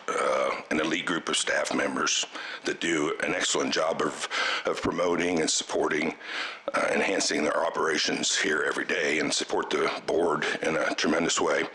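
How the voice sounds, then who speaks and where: A middle-aged man with a cold, giving halting farewell thanks as chair at a board meeting, full of fillers and restarts. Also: rumbling low, pushed and bright. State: has a cold, voice strained. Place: meeting room.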